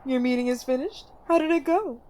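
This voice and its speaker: A woman voicing a doting queen mother who gently frets over her son, in a motherly ASMR fantasy roleplay style. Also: high voice, fairly quick, slight background noise, voice pushed up, natural voice. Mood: fond concern. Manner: motherly, solicitous.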